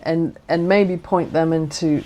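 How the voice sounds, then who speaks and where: A woman in her forties with a Midwestern American accent, her voice sitting a little low for a woman. She talks earnestly and reflectively as a chaplain, circling back to restart her thoughts. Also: fairly even intonation, a little slow, dark tone. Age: 40s. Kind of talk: reflective interview conversation.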